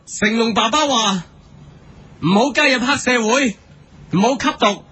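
A man's voice: extremely high pitch, measured pace, bright, forceful tone, breathy edge, full character voice. A man playing an earnest, overzealous squad hero in a comic radio drama, exclamatory and melodramatic, with a Hong Kong Cantonese accent. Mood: preachy, urgent. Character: earnest, overzealous male squad teammate, cartoon superhero style.

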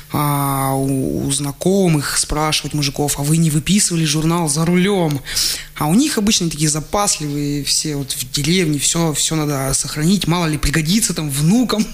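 A man, a guest on a radio show, telling childhood stories in a relaxed way, laughing often and restarting his sentences. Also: on the high side. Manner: chatty, laughs often, many fillers and restarts. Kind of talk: relaxed radio interview storytelling. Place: radio studio. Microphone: studio microphone.

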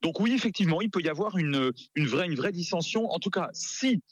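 A man giving live radio analysis as a geopolitics expert, emphatic but halting, repeating words as he searches for the next. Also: high voice, animated, breathy edge, no echo.

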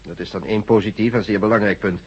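A male actor playing a brisk, authoritative leader of a ragged rebel band in a science-fiction radio drama, curt and given to cursing. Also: fairly low pitch, steady tone, husky. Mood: businesslike. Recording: muffled old radio drama recording.

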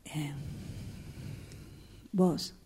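A woman playing a suspicious, wounded wife in an improvised radio drama, probing haltingly with an Argentine accent and a husky, creaky voice. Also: warm tone. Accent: Argentine Spanish.

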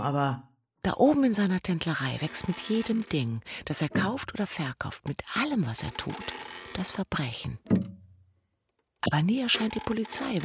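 A woman's voice: fairly low pitch, slight background noise. A woman acting a hard-bitten, cynical streetwalker in a German radio play, dry and coaxing. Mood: bitter.

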